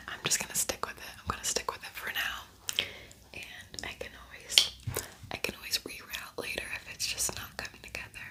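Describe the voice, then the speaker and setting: A woman speaking in a breathy whisper, chatting informally and softly as she hosts an ASMR makeup tutorial. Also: normal speed. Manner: soft-spoken, informal, chatty. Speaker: beauty ASMR video creator.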